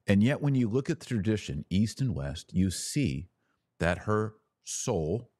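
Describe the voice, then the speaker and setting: A man hosting a podcast, giving an emphatic theological teaching talk with rhetorical questions and dramatic pauses. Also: on the low side, big pitch swings, darkish tone, breathy edge. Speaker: podcast host.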